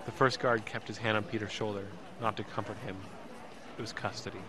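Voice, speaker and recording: A man's voice: fairly low pitch, flat delivery, close to an everyday voice. Man acting an intense young narrator over crowd noise. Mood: tense, afraid. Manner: intense, halting, repeats words. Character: anxious, emotional young man recounting his ordeal. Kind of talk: dramatic first-person narration in audio drama.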